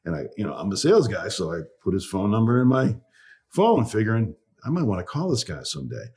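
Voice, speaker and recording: A low-voiced man with a Boston accent speaks as an interview guest, his tone slightly husky. He tells his story in a chatty, rambling way, with plenty of asides. He sounds middle-aged. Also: big pitch swings, quick pace, a little mellow. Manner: chatty, tells stories with quoted dialogue. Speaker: guest, company phone coach.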